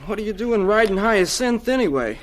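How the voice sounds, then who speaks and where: A man's voice: sits high, expressive, a little fast. Kindly young farm man, big-brotherly and protective, radio play delivery. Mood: gentle, concerned. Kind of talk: radio drama acting.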